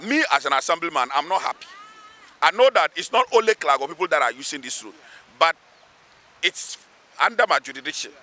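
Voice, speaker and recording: A man's voice: a little high, big pitch swings, fairly quick, bright, forceful tone. A man with a Ghanaian accent speaking emphatically as a local assemblyman in an outdoor interview, repeating phrases for weight. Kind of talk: spoken interview, pleading advocacy. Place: outdoors beside a road underpass.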